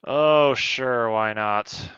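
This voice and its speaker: A man's voice: medium pitch, drawn-out words, fairly bright tone, smooth, no room sound, muffled recording. A man chatting casually as a panelist in a film review discussion. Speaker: podcast panelist.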